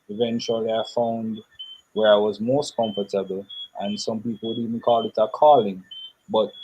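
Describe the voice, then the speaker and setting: A young man, answering interview questions in a thoughtful, measured way with pauses. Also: fairly low pitch, a little mellow, breathy edge, low-quality recording. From Jamaica. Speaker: interview guest.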